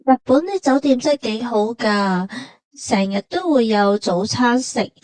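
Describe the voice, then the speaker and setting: A woman's voice: fairly high pitch, expressive, measured pace, darkish tone, dry recording. A woman with a Hong Kong Cantonese accent narrating a travel vlog in casual, colloquial, matter-of-fact banter.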